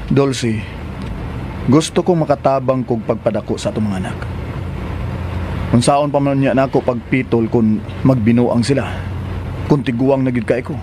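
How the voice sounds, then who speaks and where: A man's voice: mid-range voice, normal speed, long pauses, lightly acted. A man playing a devoted, hardworking fiancé who longs for children, in a Filipino radio drama with a Filipino accent. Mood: earnest. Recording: noisy urban ambience behind.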